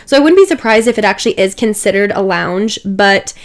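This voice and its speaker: A woman's voice: mid-range voice, animated, a little fast. A young woman getting over a cold, her voice a little stuffy and dry, chatting casually over a game build with many asides and "like"s. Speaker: Sims build creator.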